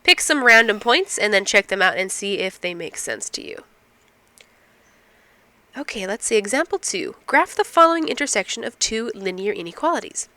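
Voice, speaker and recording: A woman's voice: mid-range voice, animated, moderate pace, sharp tone, no room sound. A woman instructor explaining math step by step, asking and answering her own questions, while getting over a cold. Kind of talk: recorded math lecture.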